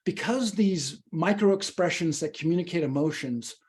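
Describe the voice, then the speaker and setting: A man leading a public speaking workshop, teaching in an animated, emphatic, conversational way with a slightly husky voice. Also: on the high side, normal speed. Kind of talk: instructional talk with questions and answers.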